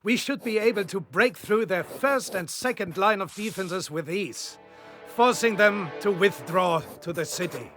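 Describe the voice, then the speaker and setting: An actor playing a confident wartime general, giving authoritative, formal mission briefings in a video game. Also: male voice, sits high, unhurried, fairly bright tone, soft background music. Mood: commanding, assured. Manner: authoritative, formal, self-assured. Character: confident, commanding male German panzer general in wartime.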